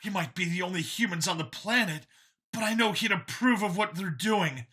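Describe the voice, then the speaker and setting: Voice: mid-range voice, normal speed, pushed and bright, slightly rough, voice pushed up. A narrator voicing a smug, bigoted android copy of a man in an audiobook, with an eager, boastful, emphatic and sneering delivery. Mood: earnest, proud.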